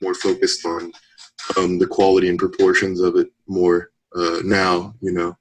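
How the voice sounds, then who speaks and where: A man's voice: low-pitched, completely flat delivery, normal speed, a little hiss or hum, low-quality recording. A man in his late twenties, a guest in a casual interview, talking in a thoughtful, candid way and laughing between points. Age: 20s.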